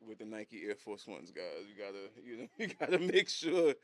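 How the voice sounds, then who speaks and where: A relaxed man in his thirties with a lowish voice, talking through his journey as an interview guest. He chuckles between thoughts and stumbles over repeated words in an easygoing, conversational way. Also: moderate pace. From Haiti. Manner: laid-back, chuckles often, repeats words. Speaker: guest, hat brand designer. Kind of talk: casual interview storytelling.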